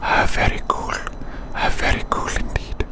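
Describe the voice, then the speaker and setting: An ASMR creator whispering soothingly outdoors on a city walk, slowly repeating short phrases. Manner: soothing, slow repetition of phrases.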